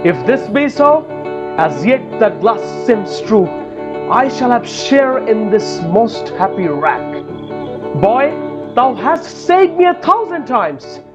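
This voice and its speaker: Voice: mid-range voice, very animated, takes it slowly. A performer playing a lordly, passionate duke in love, in a dramatic Shakespeare reading. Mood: amazed, ardent. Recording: dull lo-fi audio under guitar music.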